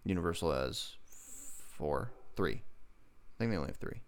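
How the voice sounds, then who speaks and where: A man's voice: deep voice, animated, measured pace. A man co-hosting a podcast in casual banter, with a playful, teasing manner that slips into mock quotes and sing-song. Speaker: podcast co-host.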